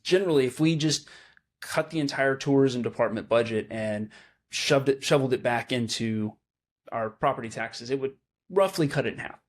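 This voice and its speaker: A man's voice: mid-range voice, normal speed. A man hosting a local news podcast, chatting casually and working through numbers aloud. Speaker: podcast host. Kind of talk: casual chat.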